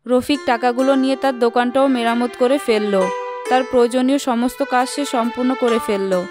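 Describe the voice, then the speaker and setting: A woman reads scripted story narration for children. Her voice is moderately high. Music plays clearly behind her. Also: steady tone, fairly quick.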